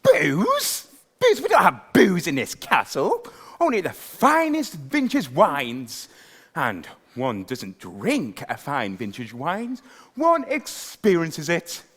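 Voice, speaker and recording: A pompous, fussy king in broad stage panto style. He is posh and dithering, and his pitch leaps extremely widely. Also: wildly swooping pitch, slow pace, fairly bright tone, husky, exaggerated voice. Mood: pompous, indignant.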